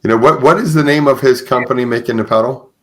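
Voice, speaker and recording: A man's voice: mid-range voice, quick pace. A man hosting a podcast in a conversational interview style, speaking affirmingly and repeating phrases for emphasis. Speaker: podcast host. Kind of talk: conversational interview with religious encouragement.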